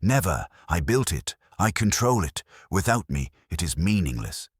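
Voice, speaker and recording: A man acts out a proud male villain in an audiobook detective story. He barks and sneers defiantly, with a deep voice that swings widely in pitch. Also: very animated, normal speed, breathy edge, dry recording, clean studio sound, exaggerated voice. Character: proud, furious villain, male blackmail schemer.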